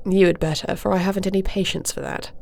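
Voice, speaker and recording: A woman playing a dignified, dutiful young warrior princess in a fantasy audio roleplay, speaking formally and with measured, stately diction. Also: mid-range voice, steady tone, gravelly, darkish tone, natural voice. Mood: dry, familiar.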